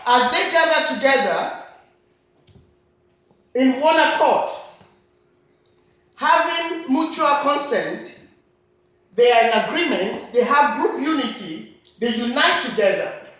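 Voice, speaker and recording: A woman with a Nigerian accent delivers a sermon, her voice bright and forceful. She presses her points through earnest repetition, and the church hall around her rings with heavy echo. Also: high voice, lively intonation, slow, long pauses. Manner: earnest, repetitive emphatic phrasing. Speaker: preacher.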